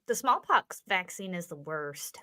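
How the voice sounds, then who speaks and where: Bright, animated woman with wry playfulness. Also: fairly low pitch, unhurried. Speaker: livestream panelist. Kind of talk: casual chat.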